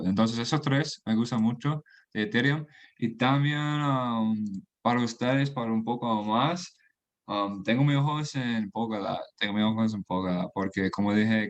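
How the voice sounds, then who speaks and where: Slow, halting man on a call. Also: mid-range voice. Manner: halting, restarts and searches for Spanish words; laughs between phrases. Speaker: guest. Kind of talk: casual chat mixing Spanish and English.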